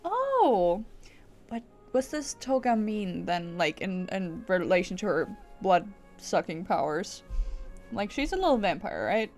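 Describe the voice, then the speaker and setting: A woman's voice: medium pitch, sing-song, takes it slowly, a little mellow, faint music behind. A woman streamer giving casual, playful and reactive commentary as she plays through a game.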